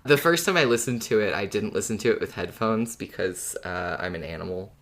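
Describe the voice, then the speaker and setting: A woman's voice: rumbling low, a little fast. A woman co-hosting a casual fan podcast, talking in a wry, self-deprecating way. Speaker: podcast co-host.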